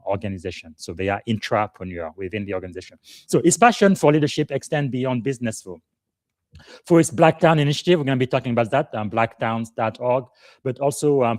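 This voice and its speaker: A man's voice: on the low side, no room sound. Man hosting a live podcast, dry sound. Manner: warm formal introductions with many "uh" fillers. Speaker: podcast host and leadership coach.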